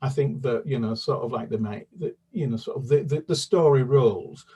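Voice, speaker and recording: A man's voice: mid-range voice, normal speed, mellow, distant mic, a little hiss or hum. A man, a children's author, answering interview questions in a hesitant, rambling, conversational way.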